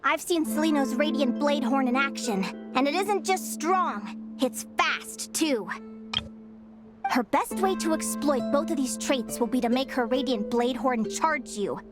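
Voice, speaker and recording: A stubborn young advisor from a game, earnest and reflective as she talks things through. Her voice sits fairly high. Loud music plays underneath. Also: measured pace. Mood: focused. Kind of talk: game cutscene dialogue.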